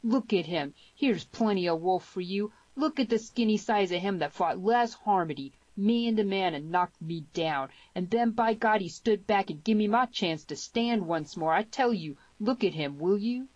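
A woman voicing a huge, rough, loud frontier brawler in an audiobook Western, fierce but fair, blustering and emphatic. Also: lowish voice, animated, normal speed, no room sound, dull audio, natural voice. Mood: fierce, boastful. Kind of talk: dramatised audiobook dialogue in Western dialect.

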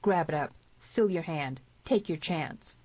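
A stern, defiant gunman from a Western storybook, voiced by a woman. The delivery is low, firm and challenging, with a very dry, slightly muffled recorded sound. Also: animated, unhurried, darkish tone, dry recording. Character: stern, proud young Western gunman, a man, steely and defiant.

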